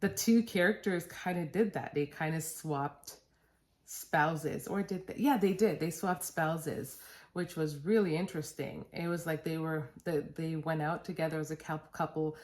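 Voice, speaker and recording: A woman's voice: deep voice, normal speed, a little mellow. Chatty woman rambling about books. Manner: rambling, self-correcting, laughs at herself. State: stuffed-up nose. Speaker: book vlogger. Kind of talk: casual chatty book review. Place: basement at home.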